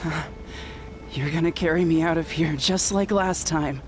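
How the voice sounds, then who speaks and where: An earnest young warrior from a video game acted by a man, weighed down by guilt. He speaks haltingly and with open emotion, with faint music behind him. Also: on the high side, lightly acted. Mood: relieved, tender.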